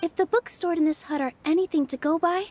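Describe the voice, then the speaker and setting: A woman playing a gentle, earnest young dancer in a video game, speaking softly and sincerely. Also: very high voice, fast, a little hiss or hum. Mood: explaining. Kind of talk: English video game voice acting.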